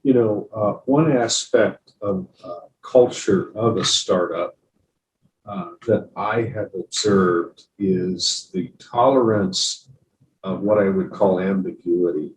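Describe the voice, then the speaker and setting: A man moderating a panel, asking halting, measured questions. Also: sits low, echoey room, distant mic, slight background noise, poor audio.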